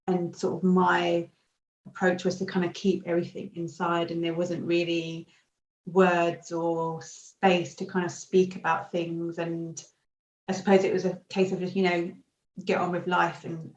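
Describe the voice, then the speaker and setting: Quiet, flat-voiced woman, distant roomy sound. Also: fairly low pitch. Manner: quiet, measured, hesitant. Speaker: panel guest. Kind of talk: video panel discussion. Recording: distant, roomy, dull audio.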